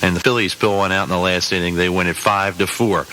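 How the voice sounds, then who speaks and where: A man's voice: deep voice, steady tone, quick pace, sharp tone, low-quality recording. An American man working as a rock radio DJ, delivering punchy, upbeat announcer patter on a hissy old aircheck tape. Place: radio studio.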